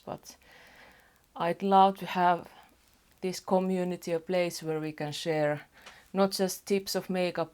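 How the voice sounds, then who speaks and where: A woman with a Finnish accent, chatting casually at home as a beauty vlogger, soft-spoken and rambling with small restarts. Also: low voice, creaky. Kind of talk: casual get-ready-with-me chat. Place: home apartment.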